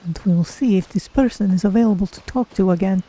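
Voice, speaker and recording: A streamer voicing a gentle cat-folk adventurer in a video game roleplay, speaking in deliberate, formal phrases as if musing aloud. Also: medium pitch, natural voice, low-quality recording. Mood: musing, calm.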